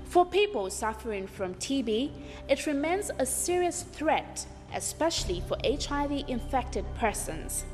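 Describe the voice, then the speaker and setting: A woman delivers formal, measured documentary narration in a somewhat high voice. There is a reverberant tail on her voice, and music plays clearly underneath. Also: very animated, a little mellow. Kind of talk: scripted narration.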